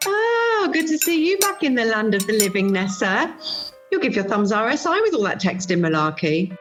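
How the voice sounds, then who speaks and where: A woman's voice: fairly high pitch, big pitch swings, moderate pace, soft background music. A woman playing a teasing, melodramatic middle-aged friend in an amateur radio play. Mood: chatty, sardonic. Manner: melodramatic, sardonic. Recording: home recording over video call.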